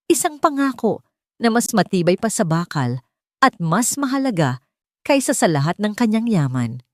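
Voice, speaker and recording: A woman narrating an audio drama in a measured, dramatic storytelling manner. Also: lowish voice, darkish tone, no echo, studio quality.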